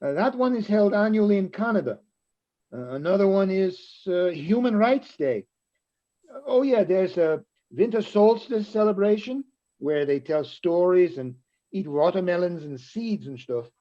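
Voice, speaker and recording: Male actor as agreeable chatty man, lively high voice, hesitant, amateur radio theatre, dull lo-fi sound. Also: measured pace. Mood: chatty, informative. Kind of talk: amateur radio-play reading.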